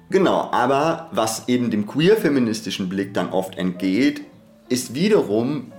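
Podcast host: man with a lowish voice, thinking aloud in abstract terms, halting and meandering, faint music underneath. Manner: hesitant, digressive, searching for words. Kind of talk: reflective, theoretical podcast talk.

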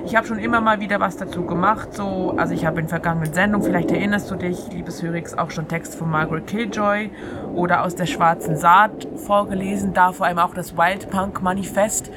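A woman's voice: on the low side, steady tone, fairly quick. A woman hosting a free radio show in an informal, conversational monologue, speaking directly to the listener. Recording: clear background noise, outdoor ambience. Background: ambience through an open window.

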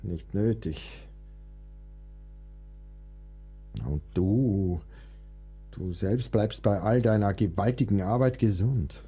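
An actor playing a touchy, indignant, melancholic composer in a historical radio play, theatrical and aggrieved. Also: male voice, lowish voice, takes it slowly, dark tone, husky. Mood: defensive. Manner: theatrical, aggrieved, swinging between outbursts and sulky asides. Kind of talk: radio play dialogue, dramatic monologue.

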